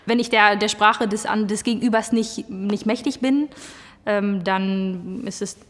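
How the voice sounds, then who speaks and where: A woman's voice: mid-range voice, fairly even intonation, measured pace. Woman talking thoughtfully in her own german, articulate, long reverb trailing behind. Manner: articulate, reflective. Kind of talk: interview answers.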